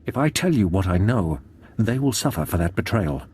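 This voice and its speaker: An actor playing a proud captive nobleman in a video game, formal, deliberate and guarded, with a husky voice. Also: fairly low pitch, very animated, darkish tone, slight background noise. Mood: resolute. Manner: formal, deliberate, haughty phrasing. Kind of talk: dramatic video game acting.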